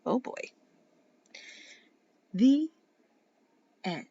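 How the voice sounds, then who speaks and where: High, very animated woman reading a storybook. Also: gravelly, slightly rough, dry recording, lo-fi sound. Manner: dramatic, playful, with emphatic pauses and whispers. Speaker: teacher reading a children's picture book aloud. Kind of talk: expressive children's storybook read-aloud.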